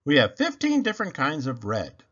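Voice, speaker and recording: A man's voice: a little high, wildly swooping pitch, normal speed, a bit bright, highs cut off, exaggerated voice. A man voicing a chirpy, persistent saleswoman in a children's storybook read-aloud. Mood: chirpy, helpful. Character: Marcia, chirpy, persistent female bicycle seller.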